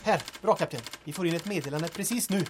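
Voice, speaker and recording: A woman's voice: on the low side, lightly acted. A woman playing a keen, practical assistant in a resistance cell in an audio drama. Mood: eager, matter-of-fact. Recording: clear clicking, typing noise behind.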